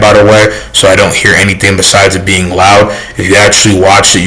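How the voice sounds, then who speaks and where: A soft-toned young man rambling defensively in a casual vlog rant, full of fillers. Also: low-pitched, slight background noise, rough recording. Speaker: YouTuber.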